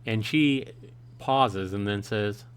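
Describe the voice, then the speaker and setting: Male game streamer, lower-pitched voice, casual read-aloud of story dialogue with off-the-cuff reactions. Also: slow, smooth. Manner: reads the dialogue aloud, then paraphrases and reacts. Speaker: streamer, host of a live play-through. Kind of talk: reading a visual novel aloud with casual reactions and commentary.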